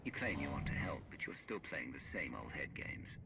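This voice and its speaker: A man's voice: a bass voice, expressive, normal speed, gravelly, noisy background, exaggerated voice. An actor playing a stern, guarded and determined male hero in a game cutscene, blunt and challenging. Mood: defiant, wary. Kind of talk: video game cutscene acting.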